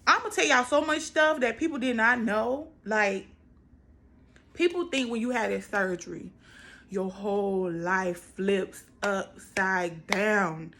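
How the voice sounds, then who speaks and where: A woman's voice: mid-range voice, takes it slowly, a bit bright. An American woman in her twenties chatting casually to viewers, emphatic and repeating phrases for stress. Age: 20s. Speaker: vlogger. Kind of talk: casual personal vlog chat to viewers.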